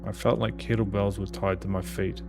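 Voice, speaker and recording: A man's voice: lowish voice, level tone, fairly quick, gravelly, music in the background. A man reading a visual novel's narration and dialogue aloud as a gaming host.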